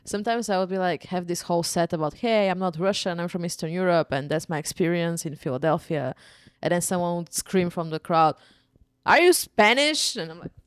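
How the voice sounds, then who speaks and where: A young woman from Bulgaria with a Bulgarian accent, a stand-up comedian chatting casually, laughing often and telling self-mocking stories at a quick clip. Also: on the low side, clean tone, dry recording, studio quality. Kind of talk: casual chat.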